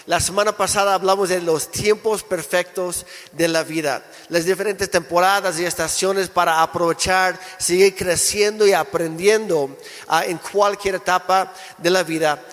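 A man from Canada, a native English speaker, preaching a relaxed sermon in Spanish with jokes and restarts. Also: sits high, moderate pace, soft background music. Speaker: pastor. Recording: echoey hall with long reverb.

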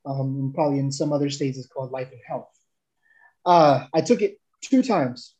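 A man's voice: mid-range voice, moderate pace, dark tone, lo-fi sound. A young man from El Salvador giving a casual, rambling testimonial, full of fillers and restarts.

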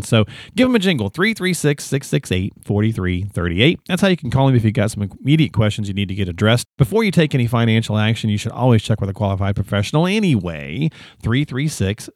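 A man's voice: lowish voice, no echo. A man with a slightly sniffly voice hosts a podcast in a close, dry recording. He talks casually and colloquially, like a friendly chat with folksy turns of phrase. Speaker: podcast host.

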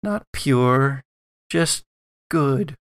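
A man playing a solemn, weary old grandmother who speaks gravely and haltingly in a tabletop roleplaying game. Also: mid-range voice, lively intonation. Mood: solemn pleading. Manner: slow, grave, halting delivery.

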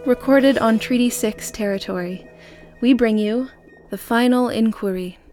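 A woman with a fairly high voice announces an audio drama series. She reads from a script, with clear music playing behind her. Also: a little slow, darkish tone. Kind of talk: scripted podcast introduction. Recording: music under the voice. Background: theme music.

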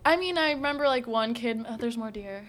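Casting: young adult woman, lively storyteller who laughs often, casual podcast guest. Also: a little high, brisk. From United States, Iranian parents. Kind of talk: casual chat.

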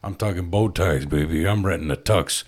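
A man's voice: low-pitched, normal speed. A man hosting a podcast, bantering and cracking jokes with plenty of swearing. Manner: brash, joking, swears a lot. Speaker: podcast host. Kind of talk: casual banter.

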